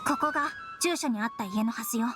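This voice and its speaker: A woman's voice: on the high side, very animated, fast, music in the background. A woman playing a capable, confident young female officer in a video game, dutiful and matter-of-fact, with feminine phrasing. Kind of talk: Japanese game voice acting.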